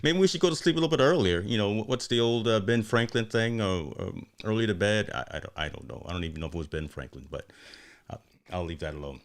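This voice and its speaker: A man's voice: on the low side, fast. A man hosting a casual livestream interview, chatty and motivational, who often repeats his words. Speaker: livestream host.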